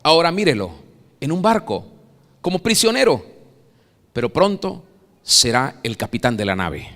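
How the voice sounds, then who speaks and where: Bright-voiced Venezuelan preacher in a hall. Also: male voice, a little high, sing-song, moderate pace. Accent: Venezuelan Spanish. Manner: dramatic preaching, swells loud then drops soft. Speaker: pastor. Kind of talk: sermon. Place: church sanctuary. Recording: long reverb of a church hall.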